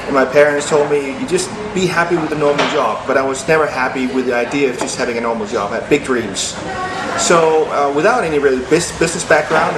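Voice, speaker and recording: Danish-born man in an echoey room. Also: medium pitch. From Denmark. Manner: earnest, steady motivational delivery. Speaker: interview guest. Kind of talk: business interview. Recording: echoey room with background noise.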